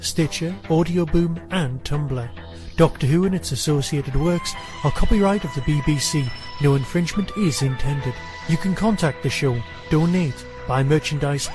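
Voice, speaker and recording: Man, podcast host, slightly high voice, light music underneath. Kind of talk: casual interview chat and scripted announcements.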